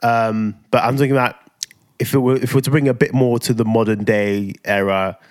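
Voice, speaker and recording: A man's voice: medium pitch, steady tone, a little fast, clear, resonant tone. A man, co-hosting a casual podcast chat, musing in a laid-back way with lots of restarts. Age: 30s. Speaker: podcast co-host.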